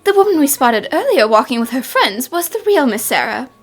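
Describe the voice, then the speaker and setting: A woman plays a young female cartoon detective with a high, lively voice. She lays things out with total confidence and a theatrical flourish. Her pitch moves freely as she makes each point. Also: fairly quick, breathy edge, heavily acted. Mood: assured, deductive. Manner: assured, explanatory, dramatic. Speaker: voice actor. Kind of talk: fan-dubbed cartoon acting.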